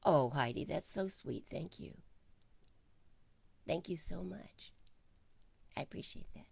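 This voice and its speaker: A woman minister hosting a casual online service, chatting with viewers and greeting them by name, her voice hoarse and gravelly. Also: low voice, takes it slowly, darkish tone, dry recording. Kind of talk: casual livestream chat with viewers.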